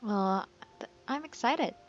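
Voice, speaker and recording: A woman's voice: fairly high pitch, animated, takes it slowly, dull audio, soft background music. A woman giving casual gameplay commentary, informal and reactive as she thinks aloud. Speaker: gaming streamer.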